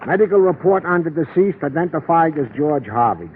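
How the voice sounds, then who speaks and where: An actor playing a long-suffering comic police sergeant with an American accent, grumbling and pompously formal, in 1950s radio style. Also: male voice, on the high side, animated, moderate pace, lightly acted. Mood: officious. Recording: tinny vintage radio recording, faint noise.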